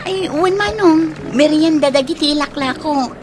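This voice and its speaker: A woman's voice: high voice, moderate pace, background noise, natural voice. An actress playing a polite, hopeful young woman street vendor in a radio drama, with a Filipino accent. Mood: polite, friendly.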